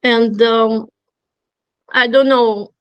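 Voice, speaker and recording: A woman's voice: fairly high pitch, steady tone, very slow, clean tone, dry recording, dull audio. Middle-aged woman, not an english speaker, lots of ums and restarts, dry close sound. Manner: hesitant, many fillers and restarts. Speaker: webinar speaker. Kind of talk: conversational webinar talk.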